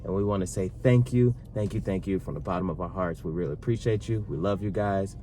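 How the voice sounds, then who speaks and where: A man in his thirties co-hosting a casual sit-down Q&A with his partner, talking in a relaxed, rambling way. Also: low voice, fast, slight background noise. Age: 30s. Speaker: vlog co-host.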